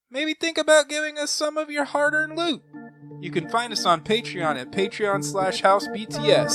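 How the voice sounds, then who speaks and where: A man's voice: high-pitched, pushed and bright, no echo. A man giving a casual podcast promo as co-host. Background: faint music.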